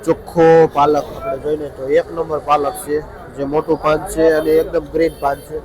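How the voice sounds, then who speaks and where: Outdoors amid clear crowd and traffic noise, a man reports as a market vlogger. His voice sits a little high and stays very even in tone. He speaks with a Saurashtra Gujarati accent, in a plain, repetitive price-reporting manner. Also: fairly quick, rough recording. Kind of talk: on-the-spot vlog commentary with brief questions to vendors. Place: busy outdoor vegetable market. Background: crowd chatter and traffic.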